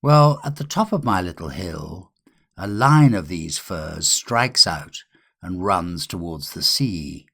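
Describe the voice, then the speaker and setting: Expressive low-voiced man reading a tale, slightly husky. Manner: measured, expressive storytelling with dramatic pauses. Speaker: audiobook reader. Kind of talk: literary ghost story narration.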